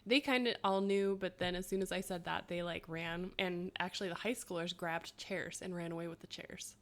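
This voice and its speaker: A woman's voice: lowish voice, steady tone, normal speed. A woman in her thirties co-hosting a podcast, reading quiz clues and chatting, laughing often. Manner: chatty, laughs often, self-mocking asides. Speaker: podcast co-host.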